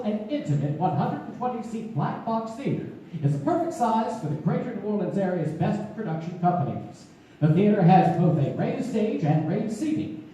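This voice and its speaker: Casting: female stage announcer, animated sponsor reads, soft warm tone, distant sound with faint noise. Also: on the high side. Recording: echoey theater from far off, faint noise.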